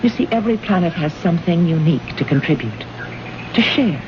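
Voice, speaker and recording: A muffled, noisy vintage radio drama recording of an actress as an earnest woman diplomat from the future. Her voice swings widely in pitch as she questions, puzzled and emphatic. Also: expressive, fairly quick, natural voice. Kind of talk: old-time radio drama acting.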